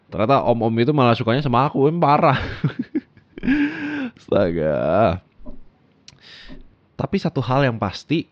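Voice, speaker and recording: Man playing deep-voiced teasing boyfriend. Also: no room sound, highs cut off, natural voice. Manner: playful, laughing, teasing. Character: teasing young boyfriend, affectionate. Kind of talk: ASMR roleplay.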